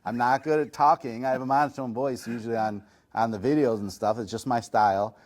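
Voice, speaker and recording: A middle-aged man, a chiropractor, answering interview questions in a relaxed, self-deprecating, chatty way. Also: mid-range voice, brisk. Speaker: chiropractor and massage chair reviewer. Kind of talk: casual podcast interview answers.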